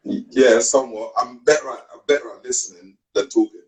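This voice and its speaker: A man from Cameroon, a guest on a video call interview, telling his story in relaxed street slang. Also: mid-range voice, brisk. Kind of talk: casual interview.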